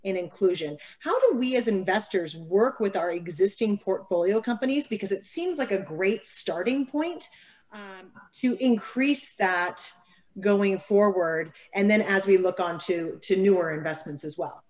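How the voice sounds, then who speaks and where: A woman moderating a panel over a video call, with a middle-pitched voice. She asks her questions in a friendly way and hesitates, filling pauses with um and repeated words. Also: animated, a little mellow, rough recording.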